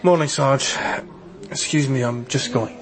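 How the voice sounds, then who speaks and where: A man's voice: mid-range voice, unhurried, crowd noise, close to an everyday voice. An actor playing a tired, worried young male detective in a radio crime drama, hesitant and downcast. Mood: curt.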